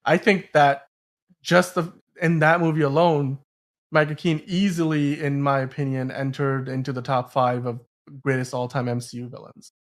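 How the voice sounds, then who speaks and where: A man's voice: mid-range voice, level tone, moderate pace. Emphatic, rambling male podcast host. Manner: rambling, emphatic, stumbling over words. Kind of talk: casual film discussion.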